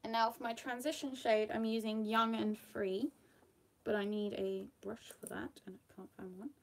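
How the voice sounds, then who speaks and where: A woman's voice: fairly high pitch, little pitch movement, normal speed. A young woman from the UK with a slightly Australian-tinged British accent, chatting casually while doing her makeup on camera, rambling with fillers and frequent little laughs. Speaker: makeup vlogger. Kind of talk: casual get-ready-with-me chat.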